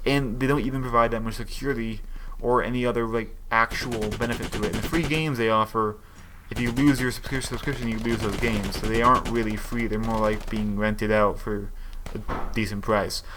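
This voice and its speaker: A man's voice: lowish voice, steady tone, fairly quick, hardly any pauses, noisy background. A young man giving casual, opinionated gaming commentary, rambling and restarting his sentences as he thinks aloud.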